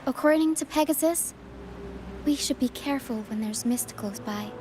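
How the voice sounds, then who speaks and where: A woman playing a calm, quirky young female adventurer in a video game, measured and gently playful. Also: high-pitched, background noise, close to an everyday voice. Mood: calm, curious. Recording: loud game music behind the voice. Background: game music.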